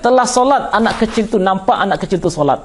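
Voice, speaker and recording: Minang indonesian guy teaching grammar, high voice, keeps asking the class questions. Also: expressive, fast, slight background noise. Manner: didactic, repeats and quizzes listeners. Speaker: Islamic teacher of Arabic grammar. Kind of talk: classroom lesson, explaining with questions to students.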